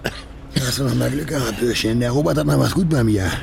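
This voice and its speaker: A man's voice: medium pitch, fairly quick, vocal fry, darkish tone, a little hiss or hum. An actor playing a neighbourhood gangster and loan shark in a radio play, condescending and threatening in his mock politeness. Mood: menacing. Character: menacing male loan shark, neighbourhood gangster, mock-courteous, gushes at idols. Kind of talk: radio drama acting.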